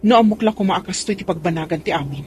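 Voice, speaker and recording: A woman's voice: on the low side, lively intonation, very fast, lightly acted. Radio drama wife and mother woman acting: protective, wounded, swooping intonation, Filipino accent, noisy recording. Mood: hurt, reproachful. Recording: clear background ambient noise.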